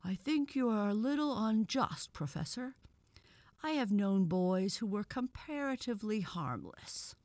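A woman voicing a timid, fair-minded lady toad, gently objecting in a children's storybook. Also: mid-range voice, lively intonation, unhurried, no echo, pitched above the performer's own voice, lightly acted.

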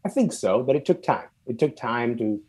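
A man from Sudan who moved to Canada young and is not a native English speaker, speaking reflectively and haltingly as an interview guest, searching for words. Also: mid-range voice, animated, darkish tone. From born and raised in Sudan, moved to Canada at twelve. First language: non-English first language.

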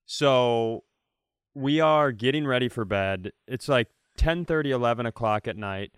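Guy on a comedy podcast, crisp voice, very dry sound, animated casual storytelling. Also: mid-range voice, unhurried, no echo.

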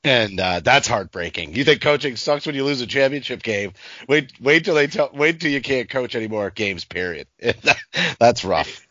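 A man's voice: mid-range voice, animated, normal speed, bright, forceful tone, no echo. A middle-aged man hosting a sports podcast in casual chat, recorded with a dry, slightly lo-fi sound.

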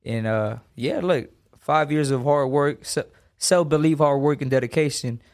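A man's voice: fairly high pitch, normal speed, a little mellow. A man in his twenties from Los Angeles, a boxer talking casually in an interview, full of slang and emphatic asides. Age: 20s. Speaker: boxer guest.

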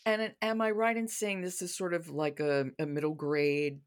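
A woman's voice: low-pitched, little pitch movement, moderate pace, smooth. A woman hosting a conversational interview, responsive and inquisitive. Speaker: host.

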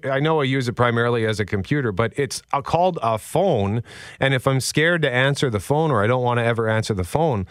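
A deep-voiced man hosting morning radio, chatting in a lively, exasperated way. His voice sits at an ordinary pitch. The studio sound is dry and close. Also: brisk, no echo. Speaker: radio show host. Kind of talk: casual morning radio chat.

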